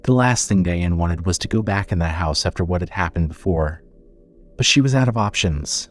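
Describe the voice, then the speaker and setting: Low-voiced man narrating horror fiction. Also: expressive, faint music behind. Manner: measured, suspenseful storytelling. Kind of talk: scripted horror story narration.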